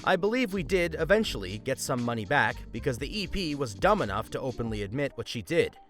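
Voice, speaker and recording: Animated man reading stories aloud. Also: fairly quick. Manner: animated, swings pitch for emphasis. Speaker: story channel host. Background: faint background music.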